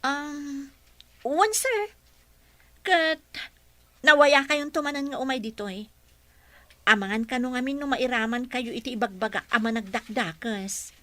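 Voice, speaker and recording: A woman's voice: high-pitched, measured pace, long pauses, sharp tone, no echo. A woman playing a dutiful, respectful caregiver in a radio drama. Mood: respectful, defensive. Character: dutiful, respectful female caregiver to her boss.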